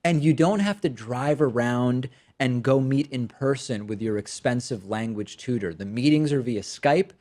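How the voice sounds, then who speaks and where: A man's voice: mid-range voice, normal speed, darkish tone. A man hosting a political commentary show, speaking English as a second language after growing up with Spanish. He talks in a sardonic, incredulous, emphatic way and also reads a sponsor ad. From Spanish-speaking country, raised in the United States from childhood.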